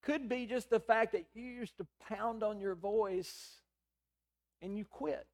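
A middle-aged man preaching. His voice is hoarse and raspy yet sits fairly high. He tells stories in a conversational way, acting out the lines of dialogue. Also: slow pace, a little mellow. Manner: conversational storytelling with quoted dialogue. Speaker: pastor. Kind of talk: sermon.

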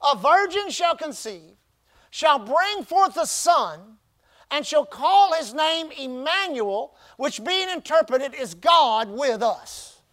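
A man's voice: extremely high pitch, sing-song, fairly bright tone. A man preaching a sermon as pastor, drawing out key phrases emphatically and prompting the congregation to repeat words back. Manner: call-and-response preaching, emphatic drawn-out phrases.

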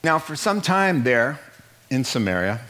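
A middle-aged man preaching a sermon in an animated way, acting out the people he quotes and laughing at his own jokes. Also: medium pitch, measured pace. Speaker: pastor.

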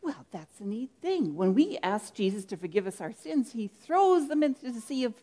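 A woman's voice: on the high side, sing-song, normal speed, warm tone. A woman doing a gushing hippie churchgoer. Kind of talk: church comedy sketch.

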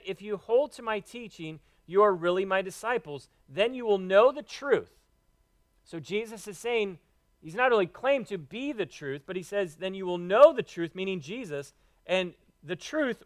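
A man's voice: sits high, no room sound. A man preaching a sermon, teaching earnestly and quoting scripture.

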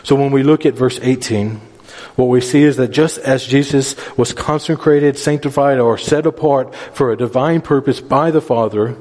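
A man's voice: medium pitch, normal speed, a little mellow. A man preaching a sermon, explaining scripture verse by verse in an earnest, instructive manner with repeated phrases for emphasis. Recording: reverberant hall.

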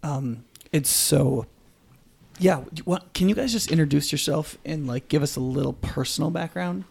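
A man's voice: fairly high pitch, moderate pace, gravelly, darkish tone, husky. A middle-aged American man hosting a podcast interview, explaining things in a conversational way.

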